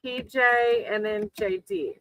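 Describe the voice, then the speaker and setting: Bright-voiced woman reading tarot live. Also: fairly high pitch, slow, clear, resonant tone, no echo, rough recording. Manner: reassuring, encouraging. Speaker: tarot reader hosting a livestream. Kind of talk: casual live tarot card reading with chat.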